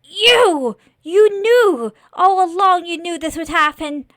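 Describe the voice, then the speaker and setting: A woman acts out a naive living scarecrow from a horror story. The voice is high and earnest, full of raw pleading emotion. It sounds close and dry. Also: unhurried, a bit bright, no echo. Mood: furious, betrayed. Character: naive, remorseful living scarecrow creature, desperately attached.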